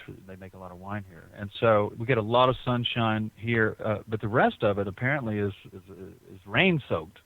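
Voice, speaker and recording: A man's voice: on the low side, no room sound. A man being interviewed as a guest over the phone, rambling with frequent restarts and repeated words. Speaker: guest artist. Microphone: telephone. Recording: tinny phone line, dry.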